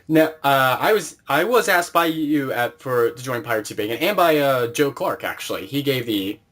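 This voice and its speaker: A man's voice: medium pitch, animated, moderate pace, a bit bright. A man hosting an interview in a casual, conversational way, trading recollections as he talks. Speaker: interviewer, host.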